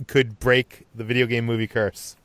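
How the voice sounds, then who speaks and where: A man's voice: medium pitch, flat delivery, moderate pace, a bit bright, no room sound. Casual male podcast host, close dry sound. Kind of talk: casual film podcast discussion.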